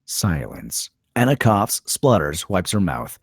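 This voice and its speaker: A man reading stage directions as a narrator at a script table read, in a terse, measured dramatic style. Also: low-pitched, very animated, no echo, studio quality.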